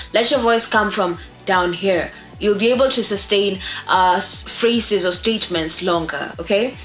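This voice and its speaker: A young woman presents a lesson in a conversational, emphatic way, with a mid-pitched voice. The room adds a clear echo around her words, and faint music plays underneath. She repeats key words and keeps checking in with the listener. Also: a bit bright. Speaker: voice-over course presenter, voice actor. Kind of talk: informal instructional talk to camera. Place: small room at home, indoors.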